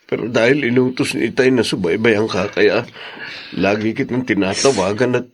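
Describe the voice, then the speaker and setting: A man's voice: lowish voice, normal speed, a little hiss or hum, natural voice. A Filipino man playing a concerned, dutiful brother in a radio drama. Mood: sympathetic.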